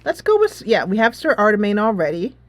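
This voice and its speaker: A woman's voice: mid-range voice, huge pitch swings, a little fast, no echo. A woman gaming host giving casual commentary, thinking aloud as she plays a tactics game.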